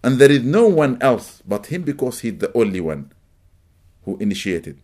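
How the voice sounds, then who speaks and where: Brief: middle-aged Arabic-speaking man, animated religious lecture, mid-pitched voice with emphatic peaks. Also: moderate pace. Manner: animated, rising to emphatic peaks, welcomes latecomers mid-talk. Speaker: religious teacher. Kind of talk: lecture to a live audience.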